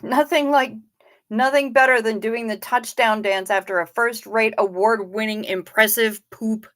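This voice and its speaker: A woman's voice: on the high side, fairly even intonation, unhurried, a bit bright, dry recording. A woman in her fifties hosting a casual livestream, reading chat comments aloud in a chatty, wry way with casual swearing. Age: 50s. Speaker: livestream host.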